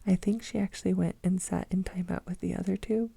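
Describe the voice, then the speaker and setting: A woman's voice: on the low side, fairly even intonation, a little fast, gravelly, mellow, husky, no echo. A woman in her thirties from the United States, whispering an ASMR story about her kids in a rambling, self-amused way. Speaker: mother telling a story.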